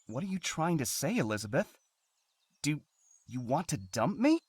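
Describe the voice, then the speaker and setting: A man's voice: fairly high pitch, very animated, moderate pace, slightly rough, no room sound. A man playing a weary, defensive husband in a game drama, curt and dismissive. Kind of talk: dramatic game cutscene acting.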